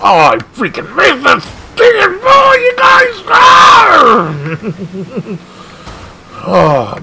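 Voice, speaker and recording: A man streaming a car soccer game, commentating his own play with excited outbursts and frustration at near misses. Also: fairly high pitch, creaky, slight background noise, rough recording. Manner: animated, exclaiming, frustrated at missed shots. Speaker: gaming streamer. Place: home gaming room.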